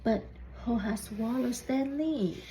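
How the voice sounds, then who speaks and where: A woman reading a children's storybook aloud in deliberate, halting phrases, putting questions to young listeners. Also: on the high side, mellow, a little hiss or hum. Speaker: student reading a picture book aloud.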